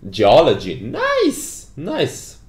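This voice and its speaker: A man's voice: on the high side, wildly swooping pitch, drawn-out words. A young man whose first language is not English, hosting a casual livestream and reading the chat aloud with lots of laughing. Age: 20s. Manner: giggly, reads chat aloud, frequent laughs. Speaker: game developer hosting a stream. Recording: roomy echo.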